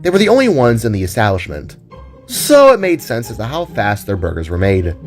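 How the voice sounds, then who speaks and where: A man's voice: on the low side, huge pitch swings, fairly quick. A man narrating a scripted story as the narrator of his own audio drama. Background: background music.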